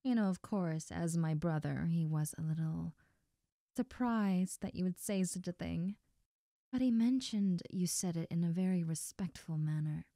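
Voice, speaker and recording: A woman playing a best friend's friendly, nosy older sister in an intimate roleplay audio drama, talking softly and coyly straight to the listener. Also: fairly low pitch, moderate pace, gravelly, no room sound, clean studio sound. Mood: coy, softly teasing. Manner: soft, gentle, coy, addressing the listener directly.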